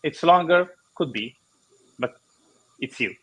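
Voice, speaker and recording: A man from Italy with an Italian accent, a guest explaining ideas in halting English with many restarts, over a video call. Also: a little high, lively intonation, bright, forceful tone, husky. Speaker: guest speaker. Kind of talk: online conference interview.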